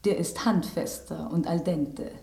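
Prim, bright-voiced talking rose. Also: female voice, fairly low pitch, a little slow, gravelly, reverberant. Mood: indignant. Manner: prim, indignant, flowery diction. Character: genteel, sentimental female rose, prim table flower. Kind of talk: German radio drama acting.

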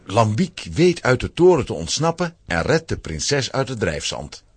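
A man giving a dramatic, expressive scripted narration for a children's audio drama. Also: mid-range voice, moderate pace, breathy edge, no echo.

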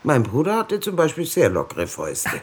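An actor playing a blunt, sardonic questioner in a radio drama. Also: male voice, on the high side, huge pitch swings, a little fast, breathy edge, heavily acted.

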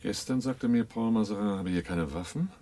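A man's voice: on the low side, moderate pace, mellow, lightly acted. An actor in a German radio drama playing a wary male fugitive boss who is always on guard. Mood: tense, deliberate. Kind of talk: German radio drama acting and first-person narration.

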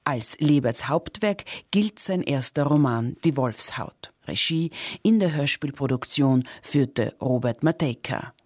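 A man presenting on radio, reading a scripted introduction in a measured, factual, literary manner. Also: medium pitch, animated, darkish tone, dry recording, studio quality.

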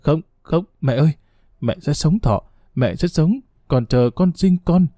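A man's voice: on the high side, lively intonation, brisk, warm tone, dry recording, clean studio sound, lightly acted. A man voicing a frantic young pregnant woman begging for her dying mother, pleading and choked with tears. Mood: sobbing, pleading.

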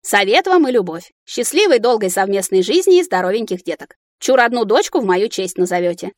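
A woman voicing a sharp-tongued, bossy young heroine in a fantasy audiobook, exclamatory and theatrical. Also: mid-range voice, big pitch swings, brisk, no echo, natural voice. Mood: relieved. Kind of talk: audiobook character acting.

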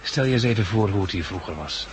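A man's voice: lowish voice, animated, fast, natural voice. An actor playing a reflective, world-weary male catastrophe survivor in a 1960s Dutch radio drama, articulate and wry, with a Netherlandic accent. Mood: wry, musing. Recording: noisy old 1960s radio recording.

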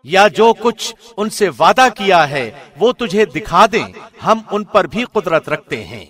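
A man reading a scripture translation from a script in a formal, measured announcer's delivery. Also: high voice, sing-song, a bit bright. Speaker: translation reader, radio host.

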